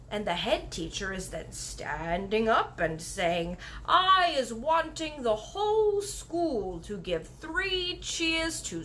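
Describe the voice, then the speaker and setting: A woman voicing a gentle, earnest storybook giant who speaks slowly in muddled, childlike grammar with made-up words. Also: fairly high pitch, a little hiss or hum, rough recording. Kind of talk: storybook read-aloud character voice.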